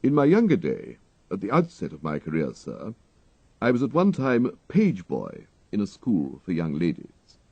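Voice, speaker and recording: A man voicing an unflappable English gentleman's manservant, deferential and deadpan, with formal, measured phrasing. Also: on the low side, slightly rough, no echo, lo-fi sound, full character voice. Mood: deferential, composed.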